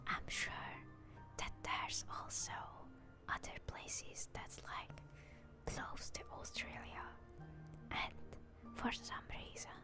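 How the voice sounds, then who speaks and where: Slow whispering young woman. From Hungary. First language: Hungarian. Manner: whispered, soft and slow, chatting with viewers. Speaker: VTuber streamer. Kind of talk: ASMR stream chat. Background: music.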